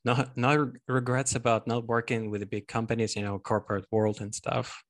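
Podcast host, a man with creaky voice. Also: medium pitch, little pitch movement, normal speed. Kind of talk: podcast interview.

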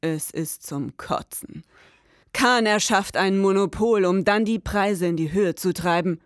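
A woman's voice: medium pitch, a little slow, dry recording, clean studio sound, natural voice. A woman playing a cynical, exasperated detective in a satirical noir podcast drama, sceptical and dramatic. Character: cynical, exasperated female detective sidekick in a parody.

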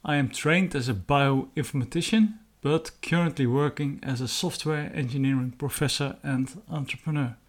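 A man's voice: mid-range voice, moderate pace, hardly any pauses. A man with a Dutch accent, a native Dutch speaker, hosting his own podcast in plain, earnest talk with hesitant fillers. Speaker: podcast host. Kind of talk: casual solo podcast talk.

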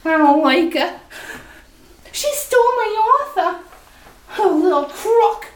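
A woman's voice: very high voice, lively intonation, slow pace, full character voice. A woman playing a tough but lovesick young gun moll in a retro radio play, with slangy talk that breaks into sobbing. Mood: weepy. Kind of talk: 1940s radio acting. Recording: roomy echo from far off the mic.

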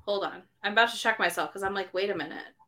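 Casting note: woman podcast host, bright, forceful tone, chatty and self-correcting. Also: medium pitch, fast. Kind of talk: casual book discussion.

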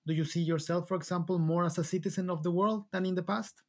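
Husky male podcast host, formal. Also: high-pitched, fairly even intonation, darkish tone. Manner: formal, deliberate. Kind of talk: interview questions and scripted outro.

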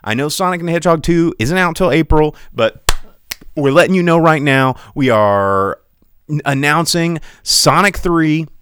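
A man's voice: fairly high pitch, normal speed, clear, resonant tone, dry recording. A man hosting a podcast, ranting casually about movies with lots of restarts and stumbles. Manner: rambling, animated rants with many restarts. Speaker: podcast host. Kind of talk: casual solo movie commentary.

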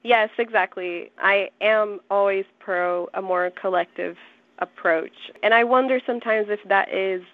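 A woman's voice: medium pitch, measured pace, no echo. Brazilian-born woman journalist, thoughtful interview guest on a phone line. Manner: earnest, thoughtful, building arguments with frequent restarts. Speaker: journalist and researcher. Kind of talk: interview answer.

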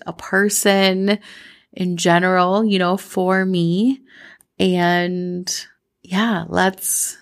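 A woman's voice: mid-range voice, vocal fry. A woman in her thirties, born in Colombia, hosting a podcast and telling personal stories in a reflective, chatty way. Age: 30s. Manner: warm, reflective, chatty with fillers. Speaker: podcast host. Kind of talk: personal storytelling monologue.

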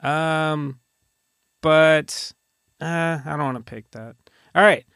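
Creaky, higher-voiced man on a podcast. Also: slow pace, a bit bright, no room sound, studio quality. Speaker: podcast host. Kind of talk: casual podcast banter.

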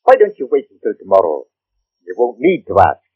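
A thin, dry, phone-like 1940s radio recording carries a manservant. He is deferential but knowing, dour and ominous. His husky voice delivers each line deliberately, like someone holding back a dark secret. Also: on the low side, wildly swooping pitch, no echo, full character voice. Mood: cryptic, foreboding.

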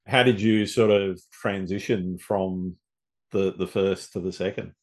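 A man's voice: sits low, steady tone, unhurried, darkish tone. A man hosting a podcast interview, asking long, meandering questions. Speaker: podcast host.

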